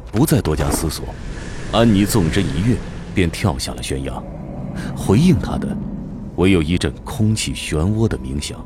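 A man's voice: sits low, animated, moderate pace, background noise. A man playing a solemn storyteller, giving dramatic scripted narration of a fantasy audio drama.

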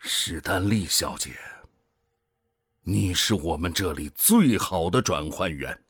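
A cold, middle-aged commander in a sci-fi radio drama. His voice is husky and low, and he argues slowly with swelling, dropping emphasis. He is measured, authoritative and persuasive, in a dry studio sound. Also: lively intonation, dry recording, heavily acted. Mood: persuasive, earnest. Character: cold, ambitious male project commander, middle-aged, coaxing and self-justifying. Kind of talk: Chinese science-fiction radio drama acting.

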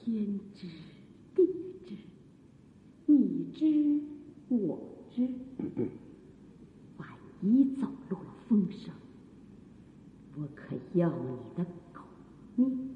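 A woman playing a haughty, jealous, scheming imperial consort in a period radio drama, with drawn-out theatrical delivery. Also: mid-range voice, expressive, slight background noise. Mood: hushed menace. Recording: muffled phone-like band, echoing hall reverb.

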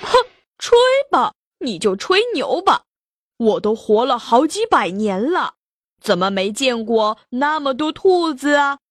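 A woman's voice: fairly high pitch, very animated, a little slow, no echo, clean studio sound, pitched below the performer's own voice. A woman voicing a proud, boastful old female turtle in a children's story. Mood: scornful. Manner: boastful, scornful. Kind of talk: storybook character voice.

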